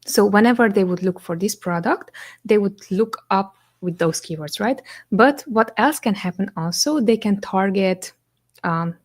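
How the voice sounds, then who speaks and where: A young woman from Romania, a native Romanian speaker, hosts a live question-and-answer stream, talking in English at a middle pitch for a woman. She explains each point step by step in a relaxed, conversational way. She often pauses on "um" and checks in with "right?". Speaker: agency co-founder hosting a live Q&A.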